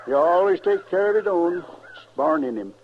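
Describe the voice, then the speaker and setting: An actor playing a folksy, hardy old sheep farmer with a rural Western American drawl in a radio drama. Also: male voice, high-pitched, expressive, moderate pace. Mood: proud, earnest. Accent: rural American West. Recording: dull, noisy old radio recording.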